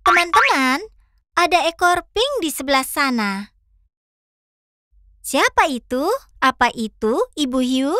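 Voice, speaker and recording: High, animated little cartoon fox. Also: female voice, measured pace, long pauses, no room sound, clean studio sound, exaggerated voice. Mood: curious. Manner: enthusiastic, encouraging. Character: cheerful, curious, friendly little pink fox host. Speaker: host guiding young viewers. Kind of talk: children's cartoon dubbing. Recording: children's music behind.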